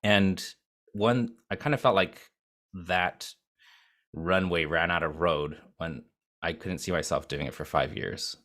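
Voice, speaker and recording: A man's voice: deep voice, a little slow, fairly bright tone. A man from Japan who speaks with an American accent, telling his story as an interview guest in a rambling way with many fillers and restarts. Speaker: guest, video agency founder.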